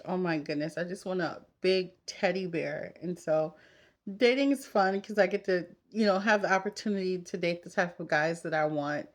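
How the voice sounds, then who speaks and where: A woman in her thirties talks in a casual, confessional vlog style. Her voice sits at a middle pitch. She is candid and conversational, speaking straight to the women watching as she gets ready. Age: 30s. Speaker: vlogger. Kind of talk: casual confessional chat while getting ready.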